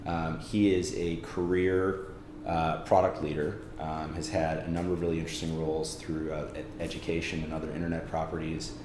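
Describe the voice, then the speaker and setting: A man's voice: rumbling low, little pitch movement, brisk, few pauses, echoey room, noisy background. A man hosting an interview, introducing a guest and asking questions in a friendly way with many fillers. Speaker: host. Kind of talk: conversational interview.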